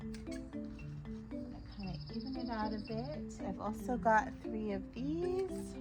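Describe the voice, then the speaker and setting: A woman vlogging casually from her backyard garden, a little hoarse from a cold, chatting gently as she arranges flowers. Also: a little high, lively intonation, takes it slowly, loud music behind the voice. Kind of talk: casual garden vlog, demonstrating.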